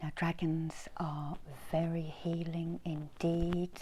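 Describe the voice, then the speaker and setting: Very slow whispering middle-aged woman, ASMR. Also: low-pitched, level tone, a little hiss or hum. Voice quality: breathy unvoiced whisper. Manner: soothing, unhurried, instructing softly. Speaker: reiki healer and meditation guide. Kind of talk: whispered ASMR guided meditation. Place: small indoor room with folding screens.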